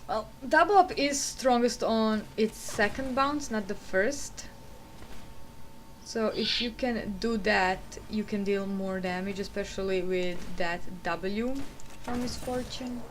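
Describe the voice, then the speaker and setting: A woman's voice: mid-range voice, slow, a little hiss or hum. A woman with a Croatian accent giving chatty, explanatory color commentary on a video game match. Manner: chatty, explanatory analysis with fillers.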